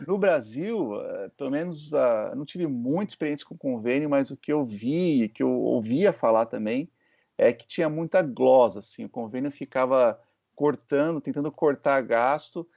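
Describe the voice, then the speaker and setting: A Brazilian man hosting an interview, telling stories in a relaxed way and checking in with his listener as he goes. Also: mid-range voice, moderate pace, creaky. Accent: Brazilian Portuguese. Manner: conversational storytelling, checks in with "né?" and "certo?". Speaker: host and physician. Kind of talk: casual interview chat. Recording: muffled lo-fi audio.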